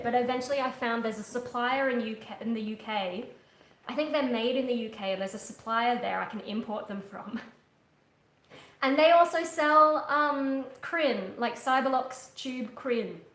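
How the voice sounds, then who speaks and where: A woman's voice: on the high side, a bit bright. A young woman talks to her livestream viewers from a couch in her living room. She rambles and restarts often, with frequent ums, and her voice carries the echo of a roomy room picked up from a webcam a little way off. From Australia. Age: young adult. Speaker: livestream host, metal singer. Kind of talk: casual live chat. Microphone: webcam at a distance.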